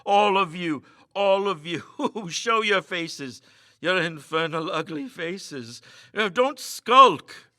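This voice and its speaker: A man's voice: high voice, animated, slow pace, no echo, studio quality, voice pushed up, exaggerated voice. A man voicing a shaken, agitated man losing his nerve in a dramatic ghost story reading. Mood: manic defiance. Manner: hesitant then loud, agitated.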